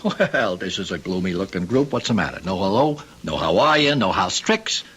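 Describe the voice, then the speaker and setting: An actor playing a jeering protection-racket collector in a radio drama, mock-friendly and taunting. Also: male voice, low-pitched, expressive, normal speed, a bit bright, breathy edge, exaggerated voice.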